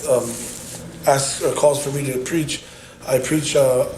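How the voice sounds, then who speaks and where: A man's voice: mid-range voice, little pitch movement, unhurried, darkish tone. A man being interviewed on a podcast, talking sincerely with many fillers and easy laughs. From United States. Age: adult. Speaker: podcast guest. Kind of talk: casual interview chat. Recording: roomy with faint background noise.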